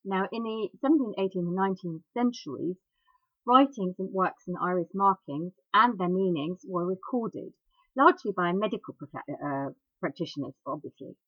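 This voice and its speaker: A woman's voice: on the low side, expressive, moderate pace, slightly rough, no room sound. A woman hosting a talk program, reading history with chatty asides and many fillers. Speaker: radio host. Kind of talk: informal talk on iridology history. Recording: dry, dull lo-fi sound.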